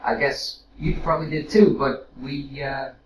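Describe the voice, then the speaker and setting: An actor playing a casual, flustered robot soldier in an audio drama, hesitant and rambling, recorded roomily from a distance. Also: male voice, mid-range voice, fairly even intonation, unhurried, close to an everyday voice. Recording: roomy, distant mic with noise.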